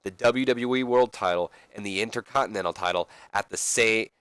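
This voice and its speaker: A man's voice: lowish voice, fairly quick, fairly bright tone, clear, resonant tone, no room sound, close to an everyday voice. A man playing a confident, self-satisfied wrestling champion, boastful and earnest as in a promo.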